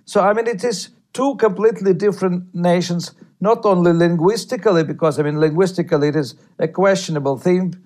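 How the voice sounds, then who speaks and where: Interview guest: man, somewhat high-pitched, measured, halting delivery. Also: darkish tone. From Ukraine. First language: Russian. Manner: measured, with frequent hesitations and repeated words. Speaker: guest, writer. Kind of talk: interview answers.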